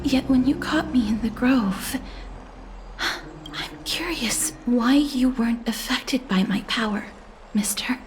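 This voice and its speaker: A woman playing a gentle, solemn young woman in a video game, speaking softly and breathily with polite, formal earnestness. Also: on the high side, slow pace. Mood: solemn, wistful. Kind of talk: scripted video game dialogue. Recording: clear background music under the voice.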